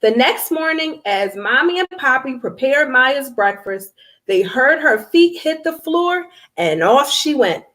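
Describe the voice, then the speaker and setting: A woman reads a storybook aloud to children at a slow, deliberate pace. Her voice sits fairly high and swings widely in pitch as she addresses her young listeners directly and expressively. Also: sing-song, a bit bright. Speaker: children's book author reading aloud.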